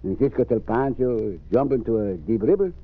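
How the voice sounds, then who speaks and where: A man's voice: medium pitch, moderate pace, lightly acted. A man playing a jolly, devoted Mexican sidekick in an old radio western, speaking simple broken English with a Mexican accent. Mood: jolly, admiring. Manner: simple broken English, refers to himself by name. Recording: muffled, narrow-band old radio recording.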